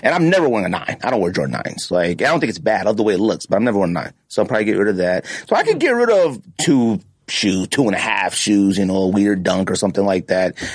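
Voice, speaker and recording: A man's voice: on the low side, very animated, quick pace, hardly any pauses, breathy edge, dry recording, studio quality. A man hosting a casual sneaker podcast, talking in a slangy, emphatic way and acting out quoted reactions. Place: podcast studio, indoors.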